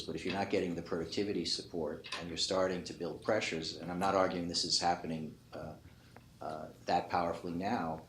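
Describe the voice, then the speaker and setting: A man's voice: low-pitched, a bit bright. An older man, an economist giving emphatic, argumentative, unscripted remarks on a panel, often qualifying his own points. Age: 50s or 60s. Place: conference panel before an audience. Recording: echoey room with faint noise.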